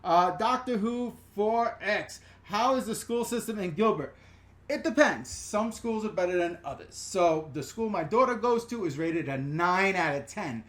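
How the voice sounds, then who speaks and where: Animated, profane New York-accented man. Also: high voice, measured pace. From New York/New Jersey. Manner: animated, profane, rambling with digressions and self-corrections. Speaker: channel host answering live chat questions. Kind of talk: casual live-stream Q&A.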